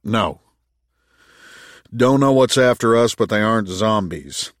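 A man voicing a gruff, skeptical moon colony worker in an audiobook, with blunt, sardonic retorts. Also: fairly low pitch, expressive, takes it slowly, no echo, clean studio sound. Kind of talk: audiobook character dialogue.

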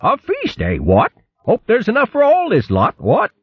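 A man's voice: high voice, huge pitch swings, moderate pace, breathy edge, dry recording, dull audio, pitched above the performer's own voice, full character voice. A man playing a pompous old male hare who stammers and hems. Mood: hesitant. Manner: stammering, pompous upper-class. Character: blustering old male hare, always hungry.

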